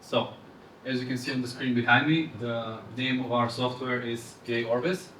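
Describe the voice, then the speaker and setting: A man's voice: mid-range voice, flat delivery, normal speed, fairly bright tone. A young man with an Eastern European accent giving a live product presentation to a room. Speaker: fintech presenter. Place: indoor event room, speaking to audience. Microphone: handheld microphone heard from far off. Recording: roomy, distant event-hall sound with noise.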